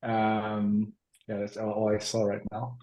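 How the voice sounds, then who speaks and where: A man's voice: lowish voice, completely flat delivery, a little slow, warm tone, low-quality recording. A man from Germany, chatting informally as a co-presenter of an online webinar. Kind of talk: casual chat.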